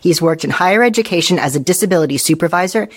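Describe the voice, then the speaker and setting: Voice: medium pitch, expressive. A podcast host giving a scripted introduction and then chatting in a friendly, upbeat way. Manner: bright, friendly, quick to laugh. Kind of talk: podcast hosting and chat.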